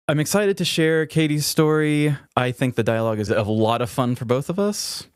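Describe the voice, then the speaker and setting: A man's voice: a little high, normal speed, clean tone, no echo, clean studio sound. A man with an American accent co-hosts a podcast, bantering casually and telling stories. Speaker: podcast co-host.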